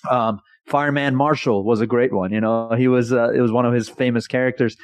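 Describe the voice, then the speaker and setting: A man in his thirties from Iran, a comedian telling his story in a casual interview with jokey, animated energy. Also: mid-range voice, a little fast, no echo. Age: 30s. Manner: jokey and animated, often laughing mid-sentence. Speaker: guest, actor and comedian.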